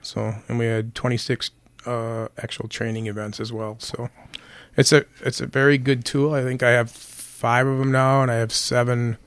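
A man, hoarse from being sick, answering interview questions in a plainspoken way with many fillers. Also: mid-range voice, level tone, moderate pace, a bit bright, no echo. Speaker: county sheriff guest.

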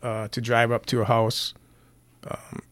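A man, hoarse from being sick, answering interview questions in a plainspoken way with many fillers. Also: mid-range voice, completely flat delivery, a little slow, a bit bright, no echo. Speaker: county sheriff guest.

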